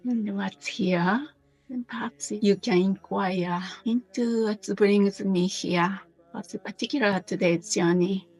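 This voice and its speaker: Slow, gentle woman guiding meditation. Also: mid-range voice, slightly rough, faint music behind, low-quality recording. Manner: gentle, unhurried, with pauses between short phrases. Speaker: meditation teacher. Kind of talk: guided meditation.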